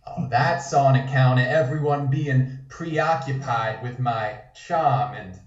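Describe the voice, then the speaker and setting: An actor playing a cocky, slangy, wisecracking 1920s boozer in a table read. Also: male voice, medium pitch, slow, natural voice. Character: cocky, shabby smooth-talking 1920s boozer with cane. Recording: dull, roomy, distant microphone.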